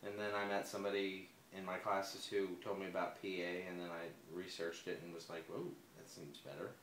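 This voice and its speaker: A man in his forties with a very low voice answers interview questions hesitantly, often pausing and restarting. The recording sounds echoey, with a faint hum of room noise behind him. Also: flat delivery. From United States. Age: 40s. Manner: hesitant, soft-spoken, many fillers and restarts. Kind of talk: personal interview.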